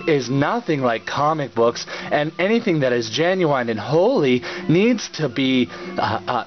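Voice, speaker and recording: A man delivering a satirical recap in a sarcastic, theatrical and halting manner, often repeating words. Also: fairly high pitch. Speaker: reviewer and puppet-show host. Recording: slightly dull lo-fi audio.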